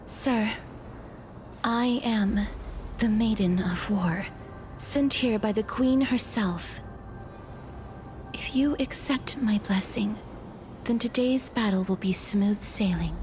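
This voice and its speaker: A woman voicing a calm, thoughtful young warrior in a fantasy game, with a soft, measured, gentle delivery. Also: medium pitch, soft background music, close to an everyday voice. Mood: gentle, solemn.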